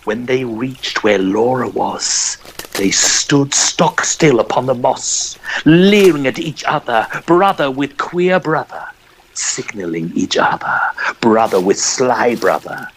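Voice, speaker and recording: Guy narrating old poem dramatically, slow n expressive, medium pitch, some background noise. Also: low-quality recording. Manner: theatrical, measured, expressive.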